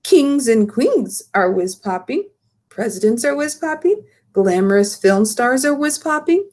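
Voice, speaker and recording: A woman's voice: on the high side, big pitch swings, unhurried, darkish tone. A woman voicing a polite, patient and inquisitive young girl in a storybook read-aloud. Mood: matter-of-fact.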